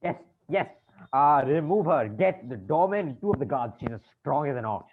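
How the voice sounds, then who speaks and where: A man's voice: fairly high pitch, lively intonation, moderate pace. A flustered bank manager in a stage farce, with a widely swooping voice. He is fussy, over-polite and exasperated, on a thin, tinny recording with faint noise. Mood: weary, desperate. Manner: stammering, fussy, over-polite turning frantic. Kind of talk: comic stage-play acting. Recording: tinny band-limited audio, faint noise.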